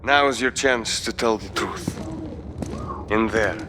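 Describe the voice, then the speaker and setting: A man's voice: on the low side, slow. A man playing a cruel Russian military interrogator in a video game, with a Russian accent and a coldly taunting, commanding manner. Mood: cold menace. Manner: coldly taunting, commanding, swinging from quiet threat to shouting. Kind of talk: dramatic video game cutscene acting. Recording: loud music and noise behind.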